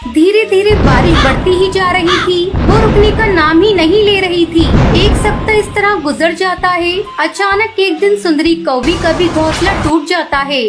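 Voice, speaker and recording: Female narrator for children's stories: extremely high pitch, scripted storytelling over background music. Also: moderate pace, few pauses.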